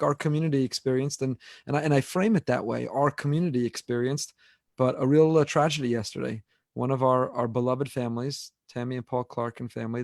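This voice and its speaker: A man's voice: mid-range voice, darkish tone, no room sound, clean studio sound. A man in his forties, a rabbi hosting an unscripted podcast discussion, talking earnestly and repeating words as he builds his point. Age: 40s.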